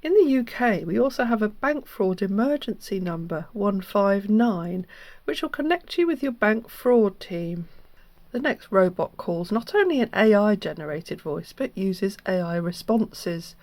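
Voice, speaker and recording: A woman presenter with a mid-pitched voice, recorded dry and close. She explains things in an earnest, instructive manner, like a host giving practical advice. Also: expressive, measured pace, a little mellow, dry recording. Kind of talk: explanatory scam-awareness narration.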